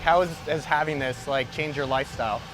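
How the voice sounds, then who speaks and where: A man's voice: medium pitch, brisk, over music, rough recording. A man hosting a vlog, chatting and asking questions in an informal, slangy way. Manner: informal, slangy, bro-ish. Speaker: host interviewer. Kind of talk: casual vlog chat.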